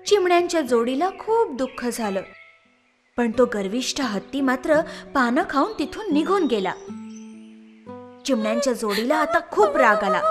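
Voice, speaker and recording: Woman narrator for kids' animated stories, high voice, lively lilting delivery, faint music bed. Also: normal speed. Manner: expressive, lilting storytelling. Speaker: storyteller. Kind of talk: scripted children's story narration.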